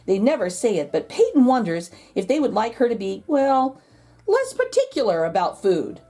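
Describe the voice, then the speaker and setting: A woman's voice: on the high side, very animated, normal speed, dark tone, faint music behind. A woman host reading a children's storybook aloud expressively, drawing out key words for emphasis. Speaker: children's picture book read-aloud host.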